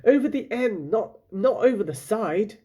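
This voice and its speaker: A man's voice: sits high, expressive, measured pace, mellow, voice pushed up. A man voicing a plucky, exasperated young girl adventurer in a storybook, exclaiming urgently.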